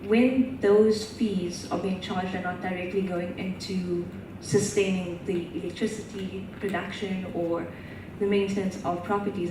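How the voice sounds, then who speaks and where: A woman student asking a long, carefully built question from the audience at a conference. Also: on the low side. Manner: careful, detailed multi-part questioning. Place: large conference hall. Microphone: distant microphone. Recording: echoey hall, distant mic, noisy.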